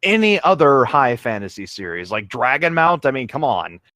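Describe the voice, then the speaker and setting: A man's voice: medium pitch, big pitch swings, normal speed, sharp tone. A man reading a story aloud as a co-host, casually dropping in exasperated, joking asides. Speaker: co-host of a comedic fan fiction reading show.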